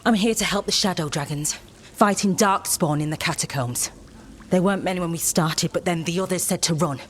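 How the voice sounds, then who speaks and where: Woman as a low-voiced wounded warrior. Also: fairly quick, slight background noise, close to an everyday voice. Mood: urgent, pained. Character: earnest wounded female warrior of a monster-fighting order. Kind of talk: scripted fantasy game dialogue.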